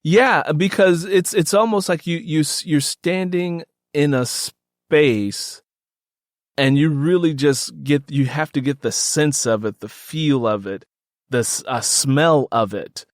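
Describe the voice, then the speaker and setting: A man's voice: fairly high pitch, slow pace, dry recording. Man with a dry, close-miked voice. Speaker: podcast host and author. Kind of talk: conversational interview and scripted audio drama narration.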